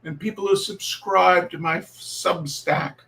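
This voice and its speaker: A man's voice: high-pitched, fairly even intonation, takes it slowly, gravelly, pushed and bright. A man hosting and reading humour in a theatrical, showman-like way, with emphatic repetition and an informal, rambling streak. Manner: showman-like, emphatic, repeats phrases. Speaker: host reading humour pieces.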